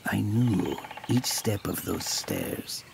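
An actor playing a wistful ghostly man, telling of his village boyhood in measured audio drama narration. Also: fairly low pitch, very animated, creaky, background noise, heavily acted. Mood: wistful, haunted. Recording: music under the voice. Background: music.

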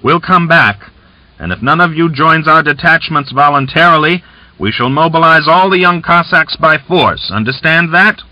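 A man's voice: on the high side, normal speed, sharp tone, no room sound, dull audio, pitched above the performer's own voice. A man voicing an arrogant male rebel commander who roars blustering threats.

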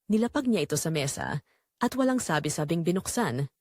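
Female audio drama narrator, first-person, scripted, expressive swings in pitch, very dry sound. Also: a little fast, no echo.

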